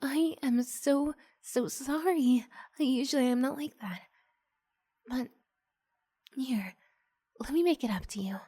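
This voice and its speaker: Woman playing a breathy, coaxing young vampire girl. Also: high-pitched, no echo, clean studio sound, voice pushed up, exaggerated voice. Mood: apologetic, coaxing. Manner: hushed, halting, often slipping into breathy whisper. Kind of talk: ASMR roleplay, improvised.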